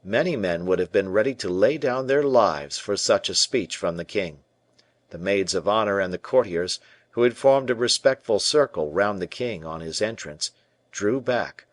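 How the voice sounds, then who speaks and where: A man narrating a classic novel as an audiobook, with even, measured storytelling. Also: deep voice, no echo. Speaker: audiobook reader.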